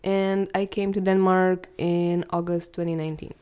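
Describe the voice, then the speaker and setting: Woman from Romania, twenties, podcast co-host, smooth clear voice, lowish pitch. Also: little pitch movement, moderate pace, mellow. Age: 20s. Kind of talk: casual chat.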